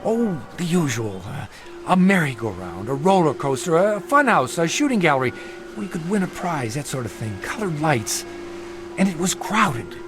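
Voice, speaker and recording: A man's voice: fairly high pitch, big pitch swings, moderate pace, music in the background. A man playing a troubled, earnest middle-aged dreamer in a radio drama, confiding in an intense, hesitant, brooding way. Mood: haunted, recounting. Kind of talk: radio drama acting, confessional narration.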